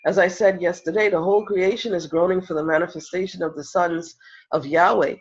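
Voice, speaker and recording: A high-voiced man teaches on spiritual purpose, a little stuffed up and nasal from a cold. He builds his points through earnest, repeated questions. The recording sounds dull and lo-fi. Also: fairly even intonation, fairly quick, breathy edge. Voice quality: slightly nasal. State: has a head cold. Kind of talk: inspirational spiritual talk.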